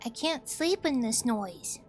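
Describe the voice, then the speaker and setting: A woman's voice: fairly high pitch, animated, takes it slowly, faint music behind. A woman voicing a timid, meek, sleepless small child in a visual novel. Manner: meek, pleading.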